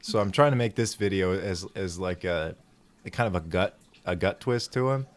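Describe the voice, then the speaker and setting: A man's voice: low-pitched, moderate pace. A man casually narrating an improvised tabletop game as game master, making it up as he goes and swearing freely.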